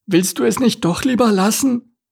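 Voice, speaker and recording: A man voicing a fretful female turtle in a storybook reading, slow, halting and flustered. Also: high voice, vocal fry, darkish tone, slightly rough, pitched above the performer's own voice, heavily acted. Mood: worried.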